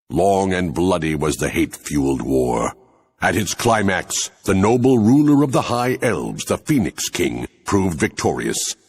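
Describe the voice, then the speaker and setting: An extremely deep-voiced male storyteller for a fantasy game cinematic. He is solemn and grandiose, with a husky edge. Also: full character voice. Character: solemn narrator of a dark fantasy chronicle. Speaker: narrator. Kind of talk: scripted cinematic narration.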